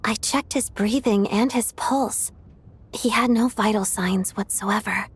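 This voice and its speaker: An actress voicing a secretive, earnest female undercover operative in a video game, with a measured, confiding delivery. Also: mid-range voice, slightly rough. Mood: grave, recounting.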